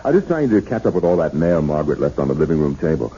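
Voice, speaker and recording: An actor playing an affectionate, easygoing newlywed husband, soothing and flirtatious, in a 1970s radio drama. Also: male voice, extremely low pitch, big pitch swings, fast, dark tone, a little hiss or hum, exaggerated voice. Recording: dull 1970s radio broadcast.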